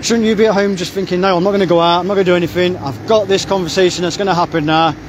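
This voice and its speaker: Man, insistent street confrontation, phone-recorded outdoors, slightly high voice. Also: fairly even intonation, very fast. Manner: blunt, insistent, repeats himself. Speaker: confronting member of a hunting group. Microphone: phone held while recording. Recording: outdoor traffic noise behind.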